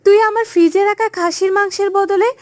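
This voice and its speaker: Casting: actress for sharp-tongued village wife and mum, cartoon comedy, extremely high voice, noisy recording with clicks. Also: female voice, fairly even intonation, a little fast. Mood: scolding. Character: scolding, threatening, exasperated mother and wife.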